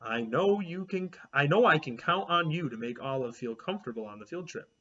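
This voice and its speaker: A man doing a calm, encouraging teacher. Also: a little high, a little fast. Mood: reassuring. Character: male schoolteacher, calm and encouraging. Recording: dull lo-fi recording.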